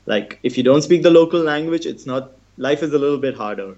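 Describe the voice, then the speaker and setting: A young man from India talks easily as an interview guest, with plenty of "um" and "like" and frequent laughs. His middle-pitched voice comes through a dull, lo-fi recording. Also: a little fast. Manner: relaxed, chatty, laughs often, fillers like "um" and "like". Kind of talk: casual interview.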